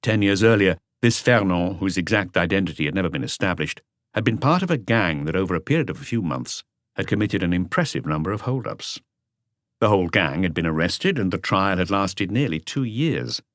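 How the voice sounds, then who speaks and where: A man's voice: sits low, lively intonation, a little fast, a bit bright, no echo, studio quality. A man reading a crime novel as a scripted audiobook narration.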